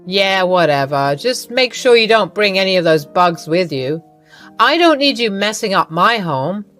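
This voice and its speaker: A woman's voice: medium pitch, lively intonation, a little slow, fairly bright tone, soft background music. A woman voicing a rude, dismissive middle-aged mother-in-law who talks in a curt, impatient, scornful way.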